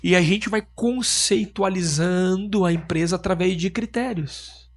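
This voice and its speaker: Husky, emphatic Southern Brazilian man. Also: sits high, a little slow. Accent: Southern Brazilian Portuguese (Rio Grande do Sul). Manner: emphatic, asks rhetorical questions. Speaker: business owner guest. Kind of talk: casual podcast conversation.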